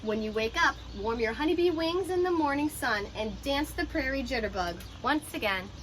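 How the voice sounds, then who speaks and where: Bright, high-voiced woman reading aloud. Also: lively intonation, normal speed, a little hiss or hum, rough recording. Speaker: teacher reading a picture book aloud. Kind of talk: children's storybook read-aloud with explanatory asides.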